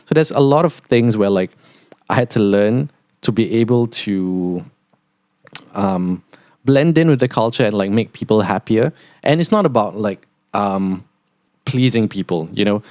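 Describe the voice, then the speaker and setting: A young man from Singapore with a softened Singaporean accent, hosting a casual podcast monologue and rambling with many "like" fillers. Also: lowish voice, expressive, a little slow, no echo, studio quality. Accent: Singaporean, partly neutralized toward Australian. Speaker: podcast host, international student.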